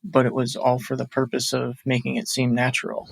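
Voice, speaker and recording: A man's voice: medium pitch, completely flat delivery, fairly quick, vocal fry, music in the background. A man speaking as a guest on a podcast, musing thoughtfully in casual conversation. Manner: reflective, musing.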